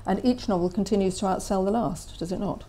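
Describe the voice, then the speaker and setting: A woman's voice: medium pitch, fast talker, mellow, slight background noise. A woman interviewer hosting a live talk before an audience, giving an enthusiastic introduction and then prompting her guest with leading questions. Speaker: interviewer and event host.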